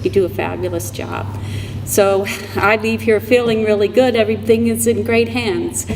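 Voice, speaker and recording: A woman's voice: mid-range voice, steady tone, normal speed. Woman in her sixties, echoey hall. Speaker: donor giving thanks at a ceremony. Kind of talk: informal unscripted thank-you speech. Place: large room, public event. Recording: echoey hall with background noise.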